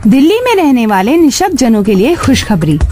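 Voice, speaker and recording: A woman's voice: on the high side, very animated, a little fast, dark tone. A woman reading scripted news items as a radio presenter. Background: faint music.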